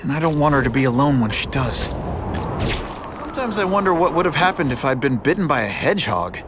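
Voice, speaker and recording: An actor playing an earnest young superhero in a video game, wry and often talking to himself. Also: male voice, on the high side, big pitch swings, brisk, noisy background. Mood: reflective. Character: earnest young male masked superhero, wry and conscience-driven.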